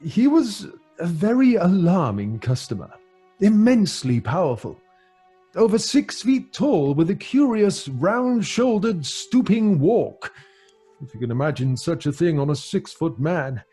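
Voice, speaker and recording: An actor with a British accent playing a middle-aged father who tells grim tales in a measured, genial way for an old-fashioned radio drama. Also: high voice, sing-song, dark tone, breathy edge, soft background music, exaggerated voice. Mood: grave storytelling. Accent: British English.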